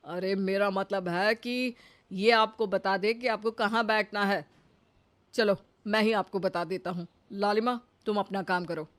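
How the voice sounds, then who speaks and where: A woman's voice: mid-range voice, fairly even intonation, a little slow, natural voice. A woman voicing an exasperated office boss in a comic cartoon story, formal and trying to stay polite while getting flustered. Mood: polite, puzzled.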